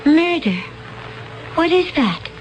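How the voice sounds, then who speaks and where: An innocent young alien woman pleads in a bewildered way. Her voice is high and lively and her pace is slow, with faint noise underneath. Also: heavily acted, rough recording. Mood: frightened.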